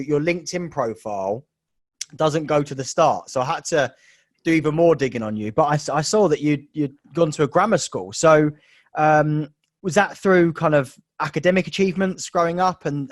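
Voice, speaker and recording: A man's voice: fairly high pitch, no room sound, clean studio sound. A man with an English accent hosting a podcast interview, chatty and joking, with plenty of fillers and restarts. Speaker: podcast host. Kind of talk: casual interview chat with a scripted intro.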